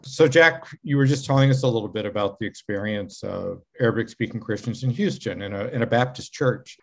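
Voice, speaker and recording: An older man hosting an interview over a video call, speaking in a measured, reflective way as he frames his questions. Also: medium pitch, gravelly. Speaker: interview host. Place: living room at home. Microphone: video call webcam.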